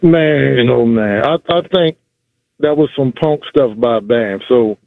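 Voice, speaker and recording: A man's voice: medium pitch, slow, vocal fry, no echo. A man in his forties calling into a sports talk show, blunt and emphatic, often saying bro. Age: 40s. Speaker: caller. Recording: dry tinny phone line.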